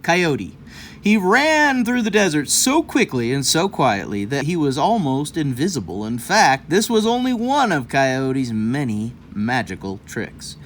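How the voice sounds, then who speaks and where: A man's voice: a little high, big pitch swings, unhurried, a little hiss or hum. A man reading a children's story aloud in a folksy, rambling way, breaking off for casual, jokey asides. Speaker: host of a children's read-aloud show.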